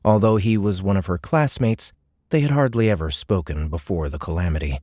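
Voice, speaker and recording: A man with a deep voice narrates fiction as an audiobook reader. The delivery is scripted storytelling, recorded very dry and close. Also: a little fast, warm tone, no echo.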